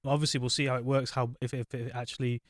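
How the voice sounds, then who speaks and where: A man's voice: mid-range voice, level tone, fast, no echo, studio quality. A man hosting a casual live podcast, thanking viewers and rambling a little with plenty of fillers. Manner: chatty, apologetic, rambling with fillers. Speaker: podcast host.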